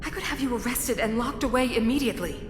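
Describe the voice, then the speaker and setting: A woman's voice: a little high, fast talker, natural voice. An actress playing a stern, imperious middle-aged female ruler in a video game, formal and commanding. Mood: cold, stern. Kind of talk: video game story voice acting. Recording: echoey reverb with music behind.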